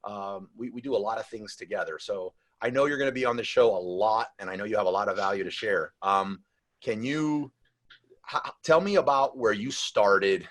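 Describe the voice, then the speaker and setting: A man with a fairly low voice hosts a casual podcast. He talks loosely and rambles, doubling back often and filling gaps with "like." He sounds relaxed and conversational. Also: normal speed. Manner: loose and rambling, with many restarts and "like". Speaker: podcast host.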